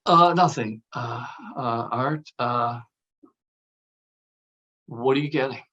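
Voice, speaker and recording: A man's voice: medium pitch, slow pace, sharp tone, dull audio. An actor at a table read playing a polite, cautious male film actor with a hesitant, courteous manner. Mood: awkward.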